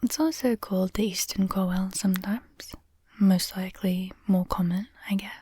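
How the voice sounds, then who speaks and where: A woman playing an affectionate, teasing girlfriend in an intimate bedtime roleplay, whispering softly and unhurriedly up close to the microphone. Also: mid-range voice, fairly even intonation, normal speed, no room sound, lightly acted. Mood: cozy, chatty. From Australia. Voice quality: soft, breathy whisper. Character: affectionate, teasing, nerdy young Australian girlfriend in bed. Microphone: close microphone, dry.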